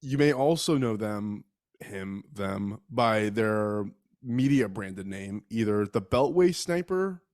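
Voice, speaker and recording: A man's voice: on the low side, lively intonation, slow, darkish tone, no room sound, clean studio sound. A man hosting a casual true-crime podcast while sick with a respiratory bug. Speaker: podcast host.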